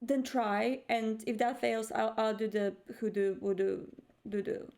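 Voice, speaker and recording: A woman's voice: a little high, little pitch movement, unhurried, creaky, a little mellow. A woman roleplaying casually as a player in a tabletop game, hesitant and playful in how she talks. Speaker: tabletop role-playing player. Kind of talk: casual in-character roleplay chat.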